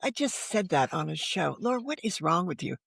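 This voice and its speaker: An older woman talks as an interview guest, her voice creaky with fry and recorded dry and close. She tells personal stories frankly, with wry, self-deprecating asides and bits of quoted dialogue. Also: fairly low pitch, very animated, fairly quick, no echo. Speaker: podcast guest, novelist. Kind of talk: candid personal storytelling in an interview.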